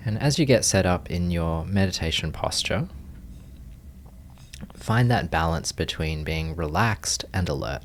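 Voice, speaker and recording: A man leading a guided meditation, giving gentle, calm instructions in measured phrases with long pauses. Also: low voice. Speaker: meditation teacher.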